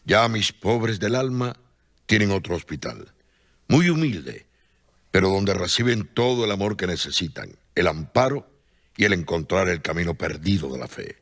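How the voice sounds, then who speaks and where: Fervent humble saint, deep lively voice. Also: male voice, exaggerated voice. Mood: earnest. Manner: solemn, pleading, slow emphatic prayer. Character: devout humble male saint, gentle and fervent. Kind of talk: radio drama acting. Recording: soft music behind.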